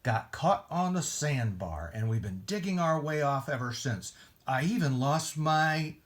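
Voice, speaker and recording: A man voicing a polite, bargaining sea captain from a storybook, measured and earnest. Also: a little high, animated, pitched above the performer's own voice. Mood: musing, weary.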